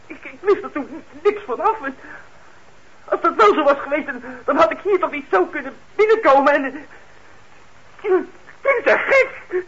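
A man's voice: very high-pitched, expressive, a little slow, fairly bright tone, heavily acted. An actor playing a troubled young man on parole in a radio drama, hesitant and defensive, stammering when he is upset. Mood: indignant, agitated. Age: young adult. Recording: dull, noisy old radio recording.

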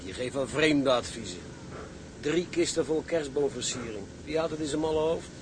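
An actor playing a wry, grumbling, incredulous sailor in a Dutch radio drama. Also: male voice, on the high side, expressive, normal speed, natural voice. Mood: exasperated. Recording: clear background noise, ship ambience.

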